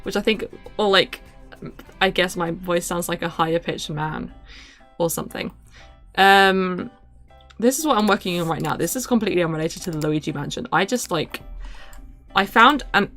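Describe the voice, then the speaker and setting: Young woman streamer, deep-ish voice, rambling and self-mocking, hums between thoughts, faint music behind. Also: a bit bright. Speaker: livestreamer. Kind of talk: casual game-stream chat.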